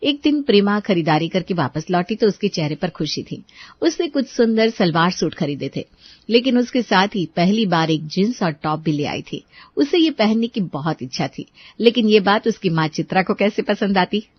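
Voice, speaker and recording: Lady narrating a cartoon story, highish voice, no room echo, bit muffled. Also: expressive, normal speed, husky.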